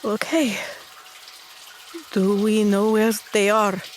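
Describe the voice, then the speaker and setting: A woman's voice: medium pitch, level tone, slow, slight background noise, pitched above the performer's own voice, close to an everyday voice. A woman voicing a weary, grieving, guarded female adventurer in a tabletop fantasy game. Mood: worried, resigned.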